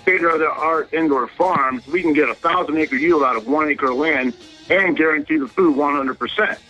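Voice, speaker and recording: A man's voice: fairly high pitch, normal speed, bright, forceful tone, soft background music. A man calling in to a radio show by telephone, talking earnestly and somewhat ramblingly. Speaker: caller. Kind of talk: call-in radio. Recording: dull, dry phone-line sound.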